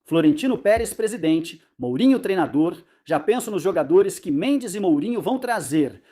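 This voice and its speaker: A man's voice: sits high, warm tone. A man giving confident, emphatic predictions as a football pundit in a casual debate chat.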